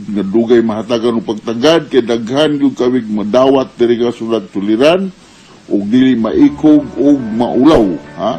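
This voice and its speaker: A man with a Filipino Bisaya accent, hosting radio advice as a doctor, explaining haltingly and mixing in English medical terms. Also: medium pitch, a little mellow, rough recording.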